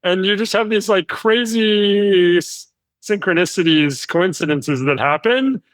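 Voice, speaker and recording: An American man, a company founder, telling travel stories as an interview guest with lively delivery and frequent fillers. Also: high voice, a little slow, no echo. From United States. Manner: animated storytelling with frequent fillers. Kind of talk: conversational interview.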